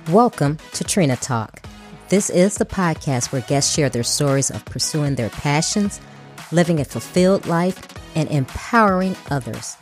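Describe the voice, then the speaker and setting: A woman's voice: deep voice, expressive, moderate pace, soft background music. A woman from Chicago, hosting a podcast, reading an upbeat intro and then chatting casually with her guest. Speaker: podcast host.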